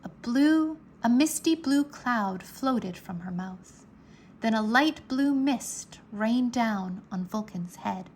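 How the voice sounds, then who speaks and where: Slow, higher-pitched woman reading a children's story. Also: expressive, a little mellow, a little hiss or hum. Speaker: storybook narrator. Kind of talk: expressive children's read-aloud.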